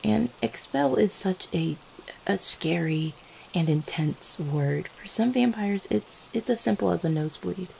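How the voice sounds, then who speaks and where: Low-voiced, tender vampire girlfriend played by a woman. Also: measured pace, vocal fry, exaggerated voice, poor audio. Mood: flustered, reassuring. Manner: soft-hearted, hesitant, tender. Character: gentle, devoted vampire girlfriend, affectionate and reassuring. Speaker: ASMR roleplay voice actor. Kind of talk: ASMR girlfriend roleplay. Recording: faint steady rain-like background noise. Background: rain falling.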